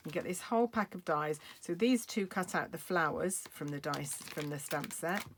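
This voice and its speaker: A middle-aged woman with a slightly croaky voice, chatting casually as she demonstrates card making at her craft table. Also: deep voice, lively intonation, moderate pace, a bit bright, a little hiss or hum. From United Kingdom. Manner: chatty, thinking aloud while working.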